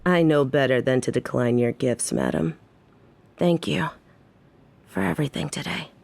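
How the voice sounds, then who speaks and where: A woman's voice: low voice, normal speed, vocal fry, darkish tone, a little hiss or hum. A woman playing a loyal, confident female gangster in an audio drama, speaking with formal courtesy and eager devotion. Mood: confident, assuring. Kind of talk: scripted audio roleplay acting.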